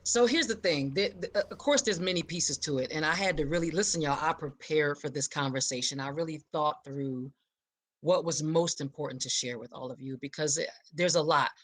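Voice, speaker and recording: The animated guest on an evening livestream, an American woman in midlife with a slightly low voice, sharing her story with emphasis and energy. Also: a little fast. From Philadelphia, United States. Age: middle-aged. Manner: high-energy, emphatic, expressive. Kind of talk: personal storytelling on a live show.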